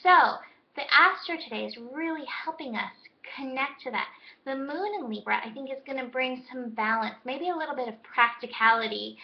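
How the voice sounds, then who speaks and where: High-voiced hoarse woman astrologer. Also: normal speed, lo-fi sound. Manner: gentle, reflective, spiritual. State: hoarse, losing her voice. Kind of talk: daily horoscope talk.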